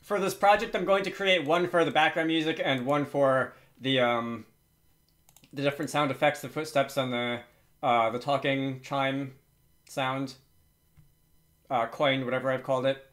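A man's voice: mid-range voice, fairly even intonation, normal speed, a bit bright. A man hosting an informal programming tutorial, thinking aloud hesitantly as he works through it at his computer. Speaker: tutorial host. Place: indoors at a computer, screen recording with typing.

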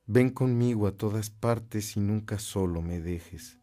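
A man's voice: lowish voice, mellow, natural voice. An actor playing a devout man reciting a prayer reverently in a radio drama. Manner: reverent, measured. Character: devout man praying to the Virgin Mother.

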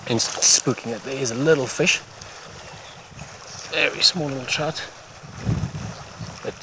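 A man giving instructional fly fishing commentary in halting, unhurried phrases. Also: medium pitch. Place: outdoors on a wooded stream bank. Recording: outdoor stream noise. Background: running water, outdoor ambience.

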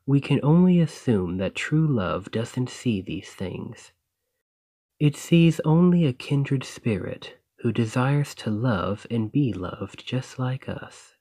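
A man acts out a lovestruck dragon who works as a librarian, talking slowly and softly to his beloved. He is earnest, gentle and a little shy, in an intimate romantic audio roleplay with a very dry, close sound. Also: mid-range voice, expressive, husky, dry recording, exaggerated voice. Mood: earnest reading. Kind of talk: romantic ASMR roleplay, speaking to a listener.

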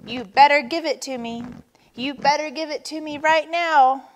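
A woman's voice: high-pitched, sing-song, slow, a bit bright, slight background noise, pitched above the performer's own voice, exaggerated voice. A woman voicing a whiny female turtle in a storybook, demanding and screeching through a tantrum in a very reverberant room. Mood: angry, insistent.

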